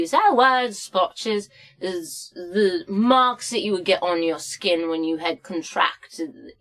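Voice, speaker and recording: Crotchety old female storyteller, played by a woman, tipsy and theatrical, slow rambling delivery for comic story time. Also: lowish voice, a bit bright. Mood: tipsy flustered. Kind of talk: comic storybook narration and history lesson.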